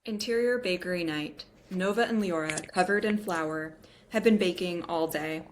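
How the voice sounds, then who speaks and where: A woman's voice: lowish voice, normal speed, a little hiss or hum. A woman reading the stage directions of a script aloud as scripted narration at a table read.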